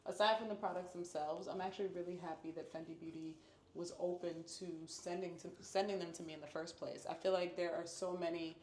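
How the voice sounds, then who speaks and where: Woman, hair-care vlogger, low register; casual and upbeat, repeats words for emphasis; echoey home room. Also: fairly even intonation, fairly quick, a little mellow, a little hiss or hum. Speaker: natural hair YouTuber. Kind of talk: casual product review vlog.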